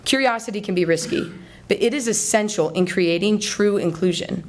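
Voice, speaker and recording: Young American woman giving an earnest speech. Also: medium pitch. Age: young adult. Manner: earnest, deliberate rhetorical delivery. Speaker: student speech contest finalist. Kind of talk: rehearsed persuasive public speech. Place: college hall, before a live audience.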